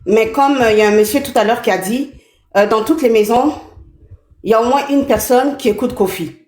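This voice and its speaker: A woman's voice: on the high side, fairly quick, darkish tone. Caller, woman in her 30s from Canada, earnest and plain-spoken, roomy echo on the line. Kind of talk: live call-in chat.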